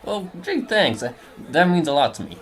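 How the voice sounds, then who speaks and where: A man's voice: on the high side, very animated, moderate pace. A man playing a modest, world-weary private detective in a noir radio drama, talking in an informal, folksy way. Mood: modest, friendly.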